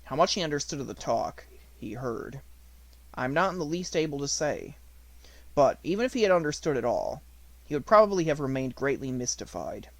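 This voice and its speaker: A man's voice: mid-range voice, sing-song, a little fast, no room sound. A man reading a classic children's novel aloud as scripted audiobook narration. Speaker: audiobook reader.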